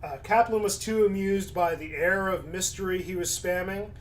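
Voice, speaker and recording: A man's voice: high voice, steady tone, normal speed, slight background noise, low-quality recording. A man streaming casually, reading chat aloud and commenting in a laid-back way, his voice starting to go.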